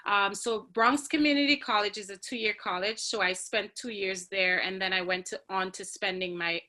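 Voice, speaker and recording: A woman in her forties speaks over a video call, her tone bright and keen. She tells her story as a panel graduate, earnest and reflective, with many ums between thoughts. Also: mid-range voice, moderate pace.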